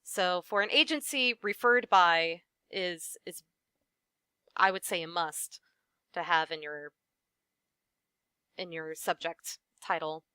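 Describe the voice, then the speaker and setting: A young woman, a voice actress, answering interview questions in a lively conversational way with frequent "um" and "you know". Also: fairly low pitch, takes it slowly, pauses often, smooth, dry recording, studio quality. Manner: animated, many fillers like "um" and "you know". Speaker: voice actress guest.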